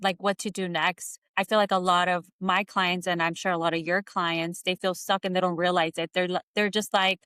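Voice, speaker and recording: A woman's voice: on the low side, flat delivery, a little fast, hardly any pauses, fairly bright tone, smooth, dry recording, studio quality. A woman in her thirties hosting a casual coaching interview in a conversational style. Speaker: podcast host and coach.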